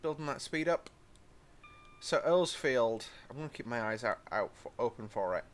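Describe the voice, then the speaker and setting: A man's voice: medium pitch, lively intonation, normal speed. A young British man giving rambling, chatty commentary while driving a train simulator, with frequent apologetic asides. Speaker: gaming channel host. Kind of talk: casual train-sim let's-play commentary.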